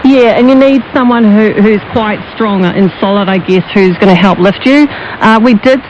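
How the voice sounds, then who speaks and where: A woman's voice: medium pitch, normal speed. Kiwi woman chatting sport on the radio, dull shortwave sound with lots of noise. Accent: New Zealand. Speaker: sports pundit interviewed on radio. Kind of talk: conversational radio interview.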